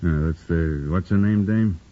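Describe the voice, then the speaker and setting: An actor playing a blunt, menacing, suspicious party heavy with a husky voice, in 1950s radio drama on a dull old recording. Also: male voice, low voice, measured pace. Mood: suspicious, needling.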